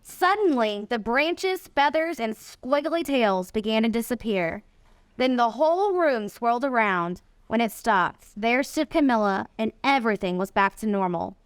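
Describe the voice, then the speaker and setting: Female storybook reader, high-pitched, expressive delivery for children, close dry sound. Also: a little slow, clear, resonant tone, no echo. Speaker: volunteer reader, nonprofit executive. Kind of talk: read-aloud of a children's storybook.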